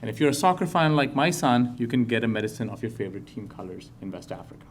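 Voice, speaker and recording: A man from Pakistan, a professor, giving a public talk in a lecture hall with earnest, slow and emphatic phrasing. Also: mid-range voice, a little hiss or hum. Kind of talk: public talk to a live audience.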